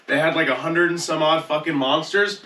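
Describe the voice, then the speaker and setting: A man's voice: on the high side, a little fast, sharp tone. A man on a podcast panel, bantering casually with slangy, profane talk. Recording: roomy echo, distant mic.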